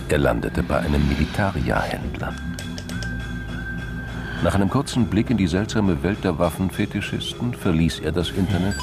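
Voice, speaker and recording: A man with a gravelly voice reading scripted narration for a crime radio drama. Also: low voice.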